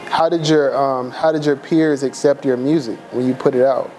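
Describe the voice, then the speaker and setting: A man's voice: medium pitch, fairly even intonation, normal speed, darkish tone, faint music behind, poor audio. A man hosting an interview, asking short, plain questions and giving brief acknowledgements. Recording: noticeable background hiss.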